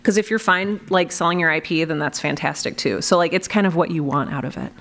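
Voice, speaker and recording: A woman's voice: fairly low pitch, fast, a bit bright. A woman giving unscripted, practical advice on a panel, emphatic and repeating her warnings. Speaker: panelist and podcast creator. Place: convention panel room.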